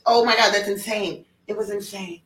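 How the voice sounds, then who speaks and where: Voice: medium pitch, fairly even intonation, normal speed, a bit bright, slight background noise. A person chatting casually in a rambling, teasing way. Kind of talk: casual chat. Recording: roomy, echoing sound.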